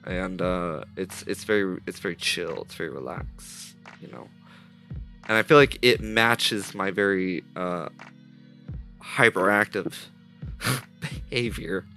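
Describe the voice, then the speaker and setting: A man talks loosely to his live chat, tripping over his words and restarting in a rambling, upbeat flow. His voice sits on the low side but sounds bright and forward, a touch stuffy through a headset mic. Soft jazz plays faintly behind him. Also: takes it slowly. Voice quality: slightly stuffy. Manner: rambling, self-correcting, upbeat. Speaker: streamer building a game asset live. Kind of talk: casual live-stream chatter to chat. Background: soft jazz music playing.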